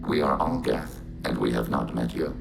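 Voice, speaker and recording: A calm synthetic machine intelligence, that speaks as many minds at once in a flat, even drone. The voice sits extremely low and is heavily gravelly. A score plays clearly behind it in this game soundtrack. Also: male voice, moderate pace, music in the background, heavily acted. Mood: calm, literal. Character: Geth machine platform, calm, collective, literal. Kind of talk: video game cutscene acting.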